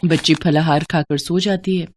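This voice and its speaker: Low-pitched woman storyteller. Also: fairly even intonation, moderate pace, background noise. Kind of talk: scripted story narration.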